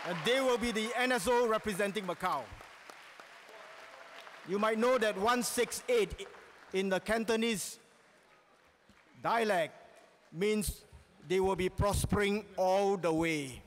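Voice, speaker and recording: A man chairing a conference, making a formal, stately ceremonial announcement. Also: high-pitched. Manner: stately, deliberate declaring, rising to a loud call at the end. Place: large conference hall. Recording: echoey hall with crowd and faint noise.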